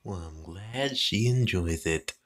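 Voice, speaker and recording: A woman voicing an excitable, exclaiming male inventor prone to panic in a kids' cartoon. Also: very deep voice, slow, heavy background noise, heavily acted. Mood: sheepish.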